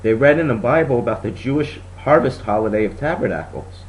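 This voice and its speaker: A man voicing a kind but firm female schoolteacher in a storybook reading, speaking with deliberate emphasis. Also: medium pitch, natural voice, rough recording. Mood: instructive.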